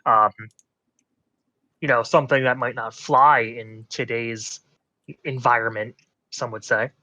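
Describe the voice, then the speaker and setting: A man's voice: medium pitch, fairly even intonation, measured pace, bright, forceful tone, no room sound. A man co-hosting a podcast, chatting casually in a wordy, mock-formal way about TV. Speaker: podcast co-host. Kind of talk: casual TV recap podcast chat.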